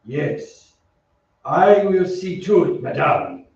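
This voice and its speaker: Male actor as menacing masked killer, polite, formal, unhurried, radio drama, distant and dull recording with noise. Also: sits high, gravelly, a little mellow, heavily acted. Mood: quietly menacing. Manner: slow, formal, politely threatening. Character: masked Phantom, soft-spoken courteous menacing killer. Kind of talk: old-time radio drama acting. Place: theatre stage. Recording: very echoey stage, distant, dull, noisy.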